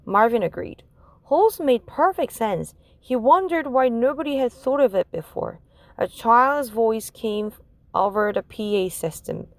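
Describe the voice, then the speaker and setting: A woman's voice: fairly high pitch, no room sound. A woman narrating a children's chapter book as a read-aloud. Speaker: storybook reader.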